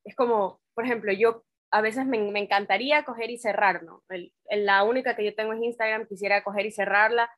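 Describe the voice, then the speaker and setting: A woman's voice: mid-range voice, fairly even intonation, brisk, few pauses, a bit bright, no echo, studio quality. A woman co-presenting an informal, reflective talk.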